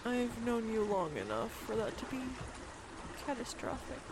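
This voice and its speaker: Slow-paced, clumsy, self-deprecating girlfriend, acted by a woman. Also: on the high side, very animated, heavy background noise. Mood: flustered, giggly. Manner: flustered, self-deprecating, chatty with bursts of laughter. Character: clumsy, giggly, self-deprecating girlfriend on a swan boat date. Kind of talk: roleplay audio drama acting, intimate one-sided conversation.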